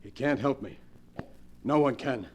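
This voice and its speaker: An actor playing a tormented, pleading gangster with rough slangy speech in a 1940s radio mystery. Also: male voice, medium pitch, unhurried, darkish tone, slight background noise, natural voice. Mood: brooding, uneasy. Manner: rough slangy tough-guy talk, pleading and stammering. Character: desperate, tormented gangster man dreading his next attack. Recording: vintage 1940s radio recording.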